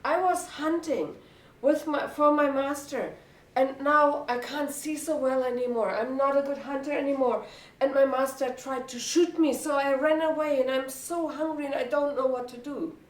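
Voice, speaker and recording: Lady doing a sad hungry old dog for a kids tale, high voice, far from mic. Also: steady tone, normal speed, echoey room, lightly acted. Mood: weary, plaintive. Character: weary old male hunting dog, hungry and plaintive.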